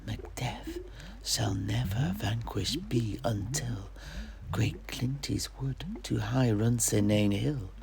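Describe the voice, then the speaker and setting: A performer voicing an eerie witch who breathes out slow, drawn-out prophecies in a whisper. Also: mid-range voice, lively intonation, vocal fry, a crowd in the background. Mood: ominous prophecy. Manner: drawn-out, incantatory.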